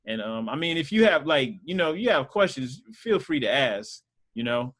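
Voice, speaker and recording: A man's voice: mid-range voice, big pitch swings, normal speed, a bit bright, breathy edge. A man casually teaching a Sunday school group over a video call, talking loosely with lots of fillers. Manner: loose and conversational, many fillers.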